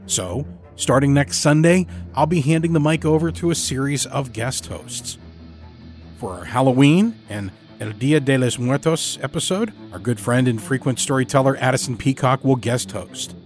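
A man works as a podcast host, delivering scripted narration at a middling pitch. Soft music plays underneath his voice. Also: moderate pace.

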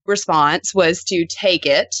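Animated, casual woman storyteller, close and dry. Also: fairly low pitch, takes it slowly, no echo, highs cut off. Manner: animated storyteller, peppers talk with "like". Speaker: podcast guest. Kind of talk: casual, anecdotal chat.

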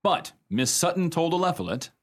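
Lively storytelling man, animated pitch. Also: moderate pace. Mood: confiding. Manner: conversational, expressive raconteur. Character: genial husband spinning a ghost yarn to listeners. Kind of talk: radio drama storytelling.

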